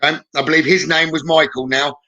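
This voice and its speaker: Male live-stream psychic host, slightly high, forward bright voice, informal and halting delivery while addressing viewers. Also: fairly even intonation.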